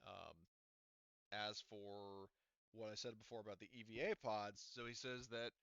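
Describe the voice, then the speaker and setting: A man's voice: fairly low pitch, fairly even intonation, unhurried, gravelly, a bit bright, clear, resonant tone, dry recording. A man running a tabletop game as game master, talking casually with lots of fillers and swearing. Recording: dull lo-fi audio.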